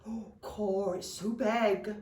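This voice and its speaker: A woman's voice: medium pitch, steady tone, slow pace, a little mellow, a little hiss or hum, close to an everyday voice. A British woman voicing curious, sulky young goblins in a storybook, with a whiny, wide-eyed childlike way of talking. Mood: awed curiosity. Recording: roomy echo, distant microphone.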